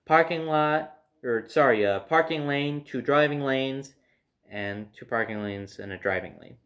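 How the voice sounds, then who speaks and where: A man's voice: medium pitch, measured pace, fairly bright tone. An American man telling a personal story casually, in a rambling way, circling back over details.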